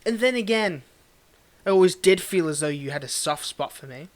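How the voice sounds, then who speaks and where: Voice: mid-range voice, animated, moderate pace, close to an everyday voice. An actor playing a cold, condescending tsundere villain in a dramatic audio roleplay, taunting and theatrical as they address the listener directly. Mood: taunting, haughty. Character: cold, mocking tsundere villain, grudgingly tending a wounded hero.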